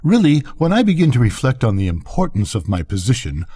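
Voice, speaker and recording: A man's voice: mid-range voice, wildly swooping pitch, brisk, warm tone, husky. Older man as a pompous, swooping storybook rocket. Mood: self-important. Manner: pompous, indignant, declamatory. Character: vain, pompous, self-pitying male firework rocket.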